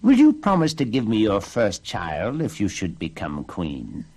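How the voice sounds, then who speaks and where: A man voicing a strange little man who is sly and impish, speaking in a slow, drawn-out way. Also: on the low side, huge pitch swings, a little mellow, husky, full character voice. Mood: bargaining.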